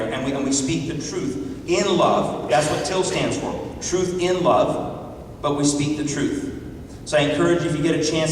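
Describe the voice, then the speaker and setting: A man's voice: on the high side, slight background noise. A man from Iran, a pastor, giving an emphatic political speech to a live audience and firing rhetorical questions at the crowd. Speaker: pastor and public speaker. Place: large meeting hall. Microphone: distant mic in the room. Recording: echoey hall recorded from far off.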